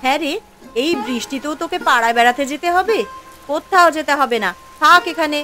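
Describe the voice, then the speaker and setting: High plaintive village mother. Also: brisk, bright, forceful tone, faint music behind. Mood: scolding. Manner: plaintive, lamenting, homely village speech. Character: worried poor village wife and mother, dutiful, plaintive. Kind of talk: Bengali fairy-tale cartoon voice acting.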